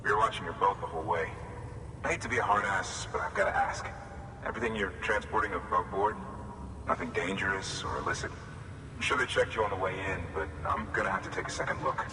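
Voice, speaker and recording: An actor playing a weary, courteous cargo checkpoint officer in a video game, plain-spoken and informal. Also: male voice, sits low, fast, lightly acted. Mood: businesslike, friendly. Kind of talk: video game cutscene acting. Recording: distant, echoey hall with clear noise.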